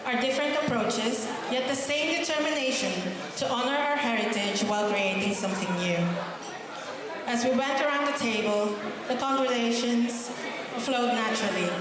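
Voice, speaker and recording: A woman in her thirties from the Philippines giving an earnest, warm prepared speech as a founder at a gala dinner, into a PA microphone. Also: on the high side, moderate pace. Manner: warm, earnest, with light self-deprecating laughs. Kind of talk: prepared speech to a banquet crowd. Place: large hotel ballroom. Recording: echoey hall with crowd chatter.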